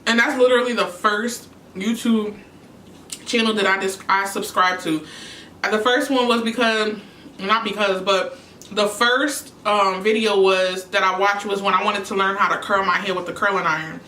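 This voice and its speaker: A woman's voice: medium pitch, fairly even intonation, normal speed, pushed and bright, slight background noise. A young woman from New York chatting casually on her eating show, answering questions between bites. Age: young adult. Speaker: YouTuber. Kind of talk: casual mukbang chat. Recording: echoey room, mic at a distance.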